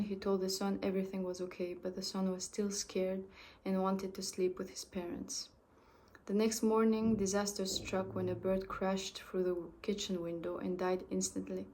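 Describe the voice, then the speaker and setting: A young woman whose first language is French reads a story aloud in a level, flat voice. She stumbles now and then and restarts her phrases. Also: medium pitch. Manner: halting, with restarts and self-corrections.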